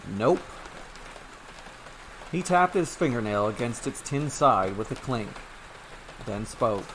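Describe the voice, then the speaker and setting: A man narrates a short fairy tale in a steady, even reading voice at a middle pitch. A faint hiss of rain noise sits underneath the voice. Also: measured pace, rough recording. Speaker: storyteller. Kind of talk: scripted story narration.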